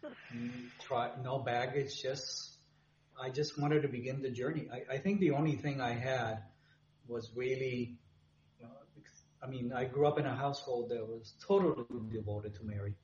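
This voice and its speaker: A man from Puerto Rico, a friar, answering interview questions in a soft, earnest way. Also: mid-range voice, fairly even intonation, normal speed. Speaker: friar and guest. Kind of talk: podcast interview. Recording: roomy, dull sound with faint hum.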